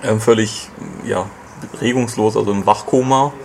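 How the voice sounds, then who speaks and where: Low-voiced man reviewing a game. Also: steady tone, gravelly, a little mellow, a little hiss or hum. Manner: explains at length with many fillers and pauses. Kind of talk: casual podcast chat.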